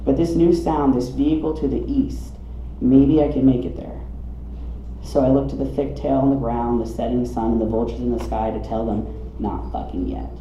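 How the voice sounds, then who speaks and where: A man reads a personal story aloud with dramatic, wry comic timing. His voice is on the dark, mellow side. The recording is roomy and a little dull, with some light noise, as at a live reading in a coffee shop. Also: medium pitch, fairly even intonation, moderate pace. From southeastern United States. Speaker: storyteller reading own memoir.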